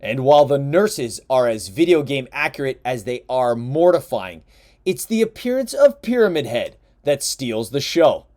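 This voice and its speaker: A man narrating a scripted countdown voice-over, with a lively, emphatic listing delivery. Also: mid-range voice, normal speed.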